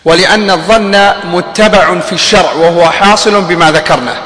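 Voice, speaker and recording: A man reading short passages of a classical text aloud in measured recitation. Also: sits high, fairly bright tone. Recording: echoey hall.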